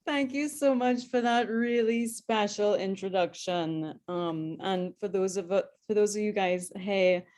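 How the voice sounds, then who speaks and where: A woman's voice: medium pitch, unhurried, hardly any pauses, a little mellow. A woman, speaking as the guest on a video call and telling her life story in a chatty way, with many ums and restarts. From Trinidad. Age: 30s. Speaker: guest speaker, airline pilot. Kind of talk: personal story talk.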